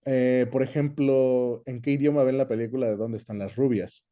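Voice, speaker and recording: A man with a Mexican accent giving measured, conversational explanations as an interview guest, often checking in with short tag questions. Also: mid-range voice, steady tone, warm tone, highs cut off. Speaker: interview guest, voice actor and dubbing director.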